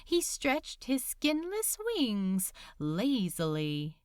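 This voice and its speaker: A woman reading scripted fiction as a narrator, in a measured, dramatic storytelling manner. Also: medium pitch, sing-song, clear, resonant tone, no room sound, clean studio sound. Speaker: audiobook narrator.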